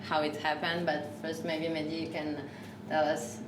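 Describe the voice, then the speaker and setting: A woman's voice: low voice, level tone, normal speed. A woman hosting a live talk in a café, speaking English as a second language and talking hesitantly with many fillers and restarts. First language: not English. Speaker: café host. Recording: far-off mic in an echoey café with noise.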